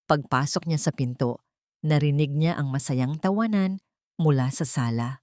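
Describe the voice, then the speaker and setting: A woman narrates a scripted romantic drama in a low-pitched voice. The recording is very dry, with no room around the words. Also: darkish tone, dry recording. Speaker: audio story narrator.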